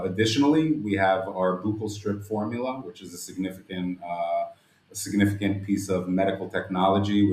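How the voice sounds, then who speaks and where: Male company director, very low voice, formal and explanatory interview delivery, noticeably roomy sound. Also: darkish tone. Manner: formal, elaborate wording.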